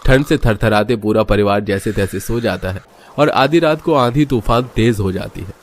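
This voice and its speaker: A man's voice: fairly low pitch, moderate pace, slight background noise. A man narrating a scripted cartoon story as its storyteller.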